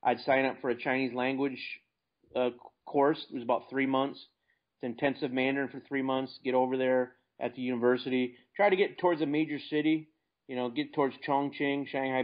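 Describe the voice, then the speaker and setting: An American man talking casually and plainly as the guest in an investing interview. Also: mid-range voice. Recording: dull lo-fi internet call.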